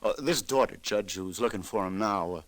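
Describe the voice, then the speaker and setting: An actor playing a streetwise, humane New police detective in old-time radio noir style, colloquial and a little halting. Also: male voice, lowish voice, dry recording, natural voice. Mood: thoughtful, probing. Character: streetwise, world-weary New York police detective, hesitant yet humane. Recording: dry vintage radio drama recording.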